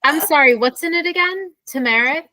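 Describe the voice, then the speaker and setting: A woman's voice: high-pitched, moderate pace, sharp tone, no echo. An American woman co-hosting an informal English lesson in a conversational teaching style. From Pennsylvania, USA.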